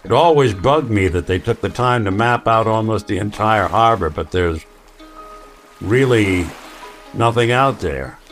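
An actor playing a world-weary, sardonic detective in a post-apocalyptic radio mystery, with a dry, hard-boiled delivery. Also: male voice, lowish voice, a little slow, fairly bright tone, faint music behind. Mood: weary, reflective. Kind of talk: old-time radio detective drama acting.

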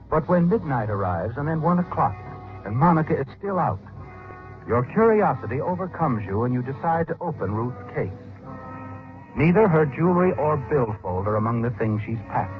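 An insinuating narrator of a vintage radio thriller. His delivery is dramatic and very animated in pitch. The sound is thin and tinny, with faint music behind. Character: knowing, insinuating male narrator goading the guilty protagonist. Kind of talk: 1940s radio mystery second-person narration. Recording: tinny old radio recording.

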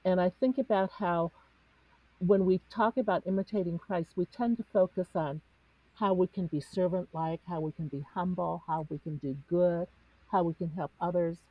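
Cantonese-native woman, low and breathy, reflective panelist, dry muffled recording. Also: dry recording. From United States. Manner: earnest, searching, pauses with fillers. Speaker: discussion panelist. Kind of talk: reflective spiritual discussion.

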